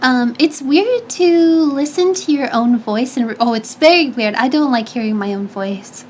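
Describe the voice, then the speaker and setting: A woman with a notably high voice chats casually with her stream audience, handing out advice. She sounds a little nasal and stuffy, and she circles back and restarts her sentences as she goes. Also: normal speed, a little mellow, slight background noise. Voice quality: high-pitched; slightly nasal. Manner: chatty, advice-giving, lots of restarts and fillers. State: stuffy nose. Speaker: streamer answering chat. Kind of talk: casual chat.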